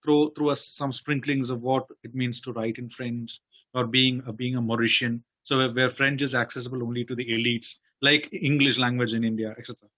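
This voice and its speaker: A man hosting a poetry festival interview over a video call, asking long, halting questions full of restarts. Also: medium pitch, fairly even intonation, sharp tone, dull audio. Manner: hesitant, many fillers and restarts.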